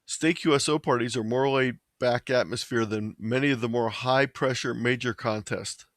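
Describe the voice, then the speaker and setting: A man narrating a scripted slideshow presentation in a measured, slightly halting way, stumbling over the odd word. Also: medium pitch, fairly even intonation, dry recording, studio quality. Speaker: presenter of an amateur radio slideshow.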